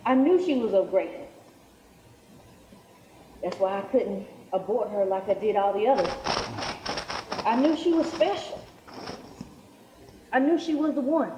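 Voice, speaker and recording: A woman's voice: mid-range voice, lively intonation, measured pace, long pauses, slight background noise, lightly acted. An actress in a stage play, playing an emotional, devout middle-aged mother who wants to get right. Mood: moved, proud. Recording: echoey hall, distant mic, loud music.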